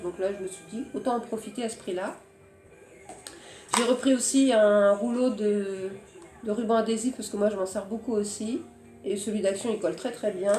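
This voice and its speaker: Hoarse chatty French woman, echoey room. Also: medium pitch, warm tone. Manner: chatty, hesitant, searches for words. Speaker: crafting vlogger. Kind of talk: casual haul chat.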